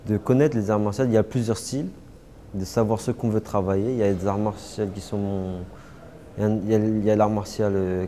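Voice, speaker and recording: A young man, answering interview questions casually, halting often with fillers and restarts. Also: on the low side, mellow, slight background noise. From Paris suburbs, France. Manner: hesitant, many fillers and restarts. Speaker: interviewee, martial artist.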